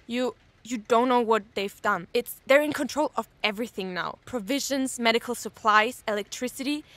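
A woman playing an eager, nosy character in an audio drama, blurting and rambling excitedly. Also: a little high, moderate pace, no echo, lightly acted. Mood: excited, urgent.

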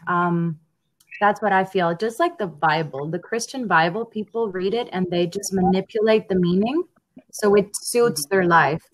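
A young woman from Honduras talking on a headset over a video call, thoughtful and pausing with ums. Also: medium pitch, low-quality recording. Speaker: language learner. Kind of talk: casual video call conversation. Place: home room.

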